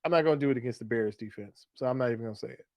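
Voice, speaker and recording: A man's voice: medium pitch, rapid-fire, no room sound. A man co-hosting a sports show, talking casually and giving his opinions in a conversational way. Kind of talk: casual fantasy football banter.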